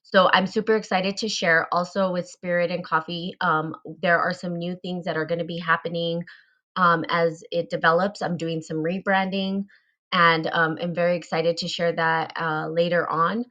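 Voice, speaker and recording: A woman's voice: on the low side, level tone, normal speed, sharp tone, highs cut off. A woman hosting a casual live online show, introducing a guest in an upbeat, enthusiastic way.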